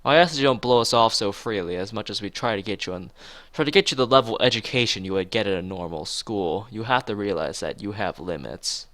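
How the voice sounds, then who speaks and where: A man's voice: lowish voice, expressive, brisk, hardly any pauses, a bit bright, no echo. A man voicing a slightly scatterbrained, earnest male school teacher in a visual novel, questioning and rambling toward his point. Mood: concerned, serious.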